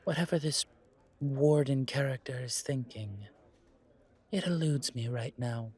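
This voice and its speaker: A man voicing a pompous, disdainful vampire gentleman with fussy, formal speech in an audio roleplay. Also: medium pitch, measured pace, slightly rough. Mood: wary. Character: pompous haughty male vampire gentleman, disdainful yet protective.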